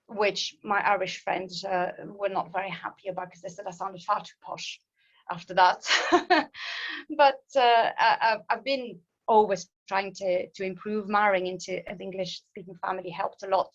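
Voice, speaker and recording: A woman in her fifties whose first language is French, speaking with a light Alsatian French accent. Her voice sits at a middle pitch, and she pauses, restarts and fills gaps with ums as she talks. The sound is a little dull and lo-fi, like an online talk. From France. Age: 50s. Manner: hesitant, many restarts and ums, laughs at herself. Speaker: guest speaker.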